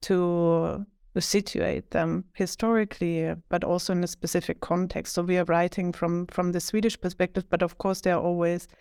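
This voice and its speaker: Smooth, even-toned woman academic. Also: on the low side, moderate pace, no echo, clean studio sound. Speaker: academic author, interview guest. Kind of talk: conversational interview answers.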